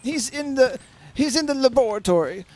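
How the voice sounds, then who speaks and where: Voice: high-pitched, animated, normal speed, a little mellow. An actor playing a nervous, secretive older male scientist in a radio drama, hesitant and repeating words. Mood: flustered welcome. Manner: stammering, repeating words, timid.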